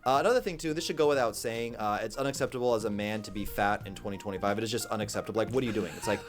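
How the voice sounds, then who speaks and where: A man's voice: on the low side, rapid-fire, faint music behind. A man in a brash, profane ranting monologue, giving blunt dating advice to camera. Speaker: dating coach.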